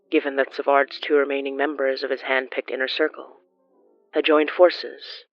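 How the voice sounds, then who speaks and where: Horror fiction narrator, mid-range voice, formal and measured, slightly dull dry recording. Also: medium pitch, no room sound.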